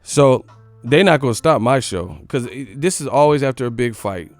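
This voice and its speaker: A man's voice: mid-range voice, animated, moderate pace, darkish tone, dry recording, faint music behind. An American man hosting a live boxing stream, giving casual, opinionated analysis in a blunt, slangy way with some swearing.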